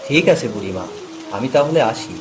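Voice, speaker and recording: A man playing a poor, helpful, good-hearted village husband in a cartoon, earnest and expressive. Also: mid-range voice, a little fast, background noise, close to an everyday voice. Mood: respectful. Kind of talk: Bengali moral-story cartoon dub. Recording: loud music and rain behind.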